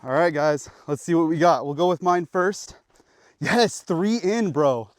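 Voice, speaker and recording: A man's voice: fairly high pitch, unhurried, no echo. A young man hosting an archery vlog outdoors on public land, hyped and slangy, talking to the camera. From Pacific Northwest of the US. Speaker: vlogger. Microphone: action camera.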